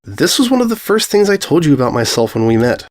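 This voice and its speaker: A man's voice: a little high, very animated, quick pace, a little mellow, voice pushed up. Man voicing a breezy, oblivious stallion pony. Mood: sheepish.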